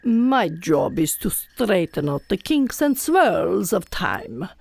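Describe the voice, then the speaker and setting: Woman acting an officious, slow-talking specialist, creaky. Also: fairly low pitch, big pitch swings, a little mellow, faint music behind, heavily acted. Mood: self-assured. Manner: deliberate, officious, insistent. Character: self-assured, officious female time-paradox specialist and hairdresser. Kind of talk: comic audio drama.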